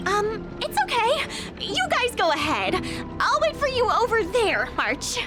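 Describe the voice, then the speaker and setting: A timid young girl in a video game. The voice is extremely high and swoops gently at a slow, hesitant pace. Loud music sits behind it. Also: big pitch swings, exaggerated voice.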